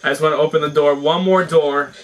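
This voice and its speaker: Hoarse young man reacting excitedly. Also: a little high, fairly quick, very noisy. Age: young adult. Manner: excited exclamations, chatty. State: hoarse from being sick. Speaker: tech reviewer and VR gamer. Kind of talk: casual review with live gameplay reactions. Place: small home room with shelves, indoors.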